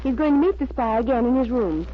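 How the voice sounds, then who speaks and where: An actress playing a brisk, earnest female agent making dutiful reports in an old-time radio drama, on a muffled recording. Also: on the high side, expressive, close to an everyday voice. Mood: urgent, dutiful.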